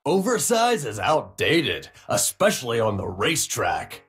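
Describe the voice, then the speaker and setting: An actor playing a brash, cocky racing robot in a video game, with a gravelly, husky voice and a boisterous drawl. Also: male voice, mid-range voice, huge pitch swings, unhurried, fairly bright tone, soft background music, exaggerated voice. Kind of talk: video game character voice lines.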